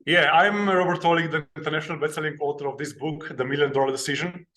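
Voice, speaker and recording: Earnest man, halting speech. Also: on the high side, steady tone, pushed and bright. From Slovenia. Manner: hesitant, many "uh" fillers, plain and earnest. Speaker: guest, author and investing educator. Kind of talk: interview storytelling.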